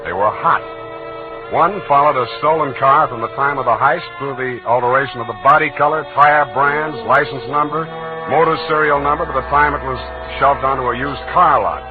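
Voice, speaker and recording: An actor playing a wry, hard-boiled detective in a deadpan 1940s radio drama style. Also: male voice, mid-range voice, moderate pace, natural voice. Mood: wry narrating. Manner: dry, deadpan wisecracking. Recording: tinny old radio recording, music behind.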